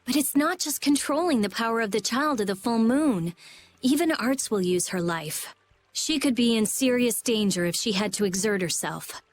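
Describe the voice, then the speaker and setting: A woman voices a young genius researcher in a video game. Her voice is on the high side, and she explains things bluntly and seriously, without softening them. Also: normal speed, natural voice. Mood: somber, explaining.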